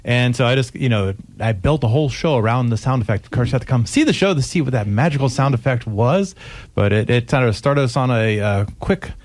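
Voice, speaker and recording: A man's voice: mid-range voice, lively intonation, fairly quick, darkish tone, no echo. A man with an American accent explaining things as an interview guest in an easygoing, lightly playful way. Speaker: radio troupe performer, guest. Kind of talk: casual interview talk.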